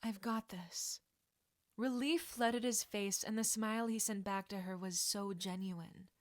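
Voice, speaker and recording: A woman's voice: medium pitch, fairly even intonation, moderate pace, no echo, clean studio sound. A woman reading a scripted piece of fiction aloud as a narrator. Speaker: podfic narrator.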